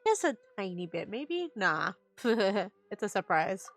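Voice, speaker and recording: Slow, playful woman game streamer. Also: mid-range voice, sing-song. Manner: playful, chatty, reacts aloud. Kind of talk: casual live playthrough commentary.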